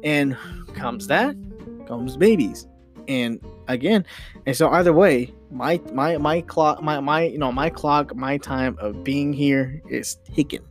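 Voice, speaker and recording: A man's voice: fairly high pitch, slow, faint music behind. A young man hosting a solo podcast, ranting casually with many restarts and mild swearing. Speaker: podcast host.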